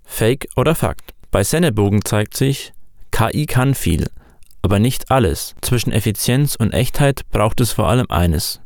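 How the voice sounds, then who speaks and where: A man narrates a corporate report from a prepared script. His voice sits fairly low with an audible creak, and the sound is close and dry. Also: animated, normal speed, no room sound.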